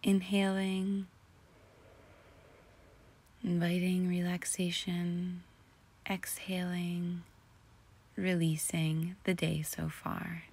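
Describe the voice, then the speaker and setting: A woman narrating a guided imagery relaxation in a soothing, deliberate, breathy voice that often sinks close to a whisper. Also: lowish voice, little pitch movement, darkish tone. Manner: soothing, slow and deliberate with long pauses. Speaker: guided meditation host.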